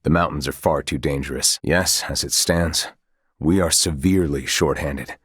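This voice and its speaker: An actor playing a grave, dutiful military commander in a fantasy video game, speaking in formal, courtly phrases with measured care. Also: male voice, very deep voice, fairly bright tone, breathy edge, no echo, clean studio sound, exaggerated voice. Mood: grave, guarded. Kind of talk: scripted video game dialogue.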